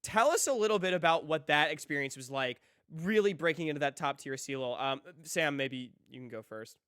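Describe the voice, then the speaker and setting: A man's voice: on the high side, expressive, normal speed, a bit bright, smooth, dry recording, studio quality. A man co-hosting an esports podcast, enthusiastic and upbeat as he sets up questions on a video call. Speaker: podcast co-host. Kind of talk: casual interview.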